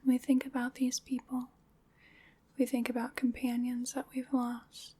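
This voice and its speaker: Woman as a breathy whispering witch, slow and gentle. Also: on the high side, completely flat delivery. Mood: gentle, reverent. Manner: slow, soothing, ceremonial. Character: gentle, solemn witch leading a Samhain ritual. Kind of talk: ASMR roleplay.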